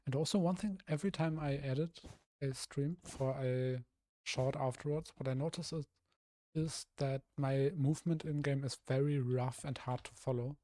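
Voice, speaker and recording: A young man from Germany talks through his building plans in a casual stream, his voice rough and a little hoarse. He thinks aloud, trailing off and restarting often, in a mellow, warm tone. The sound is dry and close, with no room around it. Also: mid-range voice, fairly even intonation, normal speed, no room sound. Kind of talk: casual live-stream commentary while building.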